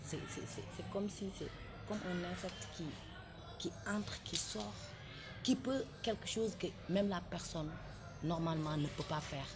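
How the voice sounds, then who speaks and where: A woman's voice: fairly low pitch, a little slow, over music. A young Senegalese woman with a Senegalese French accent, giving an earnest interview as a rapper, impassioned and exhorting, repeating her points. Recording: noisy background ambience.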